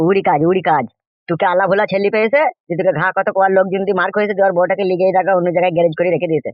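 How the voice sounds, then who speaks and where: A man's voice: high-pitched, fairly even intonation, rapid-fire, no room sound, natural voice. A man voicing a nosy, exasperated village uncle in a comic cartoon, impatient and questioning, with a rural West Bengal accent. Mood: goading. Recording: dull lo-fi sound.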